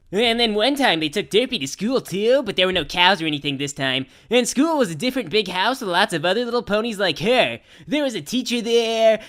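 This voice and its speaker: A woman plays a brash young girl pony reading out a cartoon storybook. The voice is bright and forceful, swinging widely in pitch. The telling is childishly simple, with irreverent, slangy asides. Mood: childlike enthusiasm. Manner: childishly simple phrasing mixed with casual, sardonic slang.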